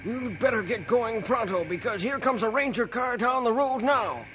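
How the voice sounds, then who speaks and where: A man's voice: extremely high pitch, moderate pace, fairly bright tone, soft background music. An actor playing a frank, loyal male railroad foreman in a 1950s radio drama. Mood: frank, needling. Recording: vintage 1950s radio recording.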